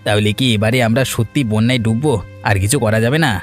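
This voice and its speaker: A man's voice: on the low side, fast, faint music behind, lightly acted. A man playing a worried village fish seller.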